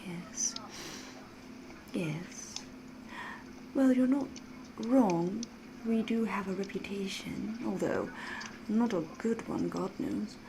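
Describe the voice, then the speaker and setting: Woman acting breathy, flirtatious vampire lady, noisy ambience. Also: medium pitch, natural voice. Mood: coy, polite, then darkly intrigued. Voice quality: breathy, often whispering. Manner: genteel, old-fashioned, formal phrasing. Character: flirtatious, mysterious vampire woman posing as a genteel villager. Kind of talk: whispered ASMR roleplay.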